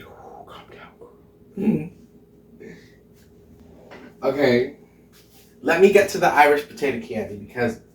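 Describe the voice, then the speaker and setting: A man's voice: medium pitch, distant mic, a little hiss or hum. Giggly, swearing man in a roomy kitchen. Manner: bubbly, giggly, swears often, puts on a mock Irish lilt. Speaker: drag queen cooking vlog host. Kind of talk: casual cooking vlog chat. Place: home kitchen, indoors. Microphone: camera mic from a few feet away. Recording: roomy kitchen echo, off-mic.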